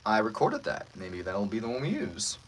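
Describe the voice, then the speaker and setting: A man's voice: lowish voice, huge pitch swings, normal speed, very noisy. A man who works as a voice actor, hosting a casual livestream and politely answering viewer comments with self-deprecating asides.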